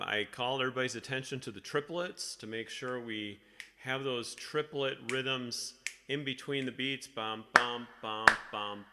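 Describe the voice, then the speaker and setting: A man leading a choir rehearsal in a casual, halting, self-deprecating way, with a slightly crackly voice. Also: medium pitch, sharp tone, long reverb tail. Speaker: choir director. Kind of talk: casual rehearsal instruction over a video call.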